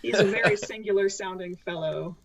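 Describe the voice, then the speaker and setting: A woman's voice: medium pitch, fairly quick, crowd noise. A woman chatting casually as a player in a tabletop game.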